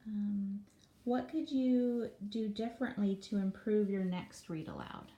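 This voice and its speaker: A woman's voice: mid-range voice, unhurried, mellow. A woman interviewer asking prepared questions. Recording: echoey room with faint background noise.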